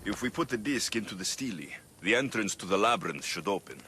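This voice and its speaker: An actor playing a steady, confident Ancient Greek mercenary hero in a video game cutscene. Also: male voice, lowish voice, fast talker, slight background noise. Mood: matter-of-fact.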